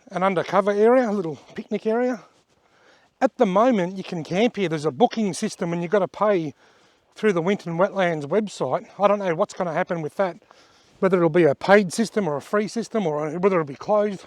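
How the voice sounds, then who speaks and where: A man with an Australian accent and a fairly high voice, a little hoarse from a cold, walks and talks his way around the wetland in a relaxed, plain-spoken way. The sound is dry and close, with hardly any room around it. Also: fairly quick, no room sound. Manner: chatty and plain-spoken, pointing things out as he goes. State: slightly hoarse from a bug. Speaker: outdoor vlogger giving a tour. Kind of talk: casual walking-tour narration. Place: outdoors at wetlands by a creek and car park.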